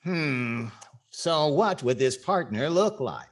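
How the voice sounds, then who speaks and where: A man's voice: a little high, very animated, takes it slowly, heavily acted. A man playing a wry, skeptical radio comedy star in 1940s style, with theatrical timing.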